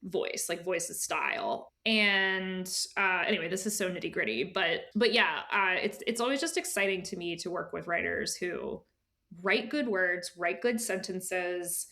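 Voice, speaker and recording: A woman's voice: lowish voice, animated, measured pace, pushed and bright. A woman speaking as an editor and guest in a casual interview, informal, often dropping into asides and imagined quotes.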